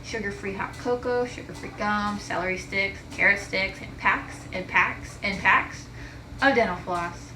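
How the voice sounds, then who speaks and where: Eager schoolboy, storybook character, acted by a woman, raised voice, echoing room, clear background noise. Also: medium pitch, a little slow, lightly acted. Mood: brisk, businesslike. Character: excitable young schoolboy, eager friend of the heroine.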